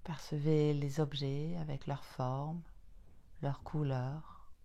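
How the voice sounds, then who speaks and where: Slow, low-voiced woman guiding relaxation. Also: flat delivery, dark tone. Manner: soothing, unhurried, short phrases with long pauses. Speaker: yoga nidra guide. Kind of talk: guided relaxation meditation.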